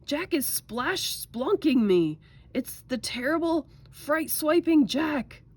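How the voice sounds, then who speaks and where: A woman's voice: high voice, expressive, takes it slowly, darkish tone, pitched above the performer's own voice. A woman voicing a huge, brutish male giant who bellows and wails in terror in a storybook reading. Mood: panicked. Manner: bellowing, screeching, wailing in panic.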